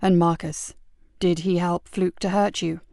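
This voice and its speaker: A woman voicing a kind male police sergeant who speaks in a calm, low, reassuring way. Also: measured pace, creaky, no room sound, studio quality. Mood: soothing.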